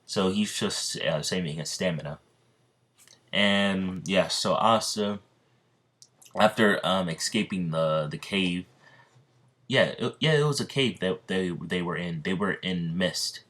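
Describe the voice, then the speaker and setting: Sleepy, low-voiced young man rambling. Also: takes it slowly, a bit bright. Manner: rambling, many ums and restarts. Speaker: YouTuber narrating a fan story. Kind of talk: casual improvised storytelling.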